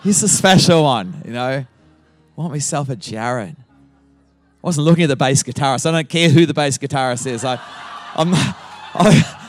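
A man's voice: mid-range voice, expressive, normal speed, no echo, faint music behind. A middle-aged man with an Australian accent, a guest pastor opening his sermon with playful teasing and frequent laughter.

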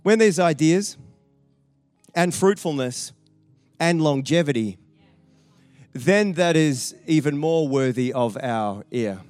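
A man's voice: on the high side, animated, takes it slowly, darkish tone, no room sound, faint music behind. A man introducing the guest pastor to a church, building his point in emphatic, repeating steps. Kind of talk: sermon introduction.

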